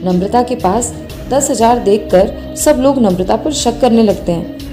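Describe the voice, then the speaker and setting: A woman's voice: a little high, measured pace, music in the background. A woman narrating a scripted bedtime moral story.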